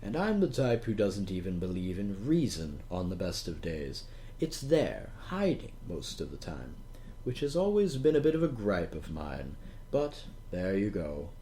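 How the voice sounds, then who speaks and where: A man with a low, mellow voice narrates an audiobook as though talking straight to the listener. His delivery is theatrical and wry, rising and falling freely in pitch. A little noise sits behind the voice. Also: sing-song, measured pace. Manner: theatrical, wry, chiding, addressing the listener directly. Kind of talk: dramatic first-person audiobook narration, conversational asides.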